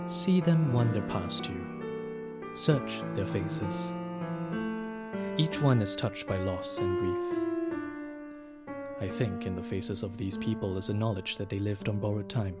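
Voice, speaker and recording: A man playing a solemn, mysterious guide through ghostly ruins, narrating an audio drama in a measured, poetic manner. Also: on the low side. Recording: music under the voice.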